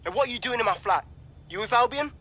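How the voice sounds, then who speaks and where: A man's voice: very high-pitched, expressive, quick pace, sharp tone, breathy edge, exaggerated voice. A man playing a cornered, paranoid young tech fugitive in a video game, with a British accent and an abrupt, suspicious, sweary manner. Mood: suspicious, threatening. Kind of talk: dramatic video game acting.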